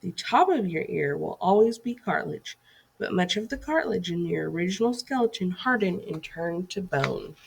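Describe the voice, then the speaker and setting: A woman's voice: lowish voice, sing-song, moderate pace, vocal fry, slight background noise, rough recording. A woman reading a scripted educational lesson aloud as a teacher, in an instructive way that poses questions to young listeners.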